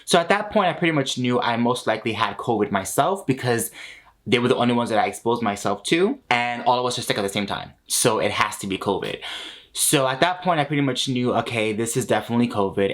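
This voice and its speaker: A man in his thirties, a vlogger, telling a personal story to camera in a lively, expressive way from a small home studio. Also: fairly low pitch. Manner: animated, expressive, laughs between lines.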